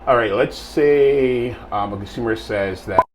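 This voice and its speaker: A middle-aged man from Barbados, seated in an interview and explaining his work in a measured way, with frequent pauses and fillers. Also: medium pitch, background noise. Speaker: guest, quality assurance technician. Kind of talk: casual interview answers. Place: small studio room with plain wall.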